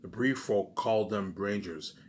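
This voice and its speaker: A man's voice: fairly low pitch, moderate pace, a little hiss or hum. A man casually reading a book aloud for his viewers, often stumbling, repeating words and breaking off into emphatic rhetorical asides. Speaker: video creator doing a book readalong.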